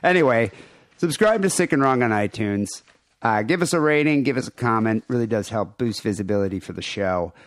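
A man's voice: mid-range voice, animated, a little fast, no echo, clean studio sound. A man co-hosting a podcast, rambling casually with crude, irreverent jokes and show plugs. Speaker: podcast co-host.

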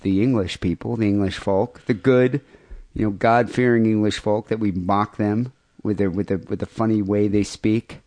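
A man's voice: fairly low pitch, fairly even intonation, normal speed, a little mellow. A man hosting a comedy podcast with casual, irreverent banter and mock apologies. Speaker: podcast host.

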